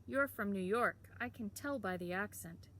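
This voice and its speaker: A woman's voice: medium pitch, normal speed, a bit bright, a little hiss or hum, natural voice. A woman voicing a cocky, teasing teenage town boy who talks in a brash, slangy drawl.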